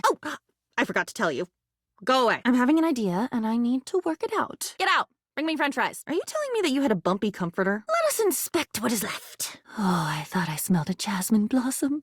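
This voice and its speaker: A whimsical female diary come to life for a video game. Her voice sits fairly high and swings widely in pitch as she muses aloud. She is curious, excitable and theatrical, recorded very dry with no room sound. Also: no echo. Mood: brisk, excited, then musing. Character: living diary, quirky, excitable, curious female datable character. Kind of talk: video game character voice acting.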